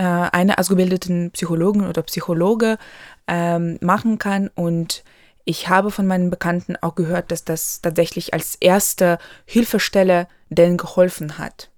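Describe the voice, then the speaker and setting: A woman's voice: on the low side, darkish tone, dry recording, studio quality. Hesitant young woman. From Ukraine. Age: 20s. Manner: hesitant, frequent pauses and fillers. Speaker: international student. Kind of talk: personal account.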